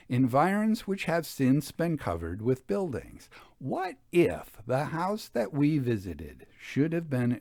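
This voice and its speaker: A man giving a formal, measured and expressive storytelling read of an old Victorian ghost account. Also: mid-range voice, dry recording. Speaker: audiobook reader. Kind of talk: dramatic scripted narration of a Victorian ghost account.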